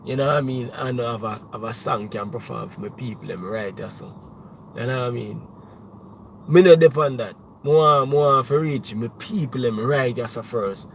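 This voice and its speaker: Animated young Jamaican man, rambling livestream. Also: mid-range voice, normal speed, vocal fry, slight background noise. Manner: animated, rambling, many restarts and "you know what I mean". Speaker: music producer and livestream host. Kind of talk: casual livestream talk.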